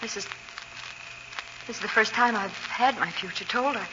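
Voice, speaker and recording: A woman's voice: mid-range voice, normal speed, sharp tone, lightly acted. A woman playing a genteel young lady sleuth in 1940s radio drama, polite, hesitant and dramatic. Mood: entranced, frightened. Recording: muffled, noisy 1940s radio recording.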